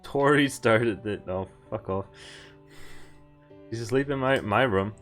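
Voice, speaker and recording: A man doing casual game-stream commentary, reading dialogue aloud and thinking out loud in informal, sweary language. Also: mid-range voice, a little slow, faint music behind.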